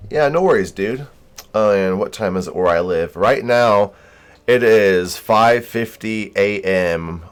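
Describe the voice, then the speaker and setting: Deep-voiced American game streamer guy. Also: takes it slowly, smooth. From United States. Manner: easygoing, informal, rambling. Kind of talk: casual livestream chat.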